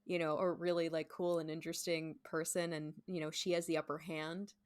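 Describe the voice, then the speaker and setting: A woman's voice: low voice, little pitch movement, fairly quick, dry recording. Woman in casual, informal conversation. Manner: informal, conversational, heavy use of "like". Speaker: guest, stage performer. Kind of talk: casual chat.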